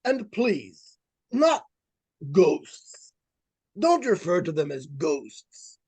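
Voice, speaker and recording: A solemn, pedantic psychic investigator acted by a man in an old-time radio play. The voice is high and swoops expressively, slowly and precisely, in a dry, close recording. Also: big pitch swings, darkish tone, slightly rough, dry recording, heavily acted. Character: earnest, pedantic doctor, expert in psychic phenomena. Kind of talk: 1940s radio drama acting.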